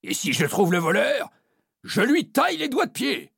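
A man doing a hollering gravelly pirate captain. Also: very high-pitched, wildly swooping pitch, normal speed, no room sound, studio quality, pitched above the performer's own voice, heavily acted. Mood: gruff. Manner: shouting, swearing old-fashioned oaths. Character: blustering gruff male pirate captain.

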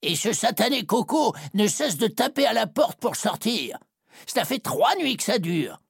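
A worried one-eyed pirate sailor, played by a woman, talking low and slow in a creaky, husky voice as he pleads his case. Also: sing-song, dry recording, clean studio sound, heavily acted. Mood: pleading.

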